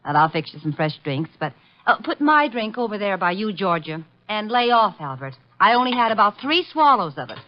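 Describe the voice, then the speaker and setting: A woman's voice: medium pitch, big pitch swings, fairly quick, natural voice. An actress playing a peevish wife at a cocktail party in a radio mystery, chiding as she talks. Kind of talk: vintage radio mystery acting. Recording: muffled vintage radio recording.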